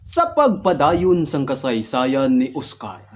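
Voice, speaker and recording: A man's voice: medium pitch, huge pitch swings, takes it slowly. A man performing an improvised comic radio drama in an exaggerated way, narrating his own actions aloud one by one. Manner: exaggerated, spells out each action. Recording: noisy amateur recording with clicks.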